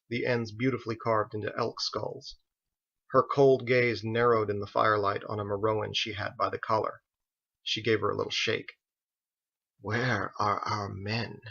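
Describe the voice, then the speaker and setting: A man's voice: on the low side, flat delivery, normal speed, gravelly, bright, forceful tone. A man reading his own fiction aloud as a scripted audiobook narration. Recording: dull lo-fi recording.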